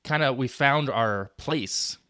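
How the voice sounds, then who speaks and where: A man hosting a podcast, chatting casually and quickly in a friendly interview. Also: mid-range voice, sharp tone, clear, resonant tone, dry recording, studio quality. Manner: fast, conversational, quick to agree. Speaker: podcast host.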